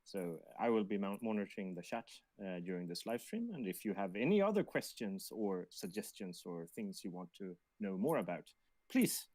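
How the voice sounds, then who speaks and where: A man co-hosting a livestream in casual chat, speaking in a halting, hesitant way. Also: deep voice, lively intonation, a little mellow, dry recording. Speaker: livestream co-host monitoring the chat.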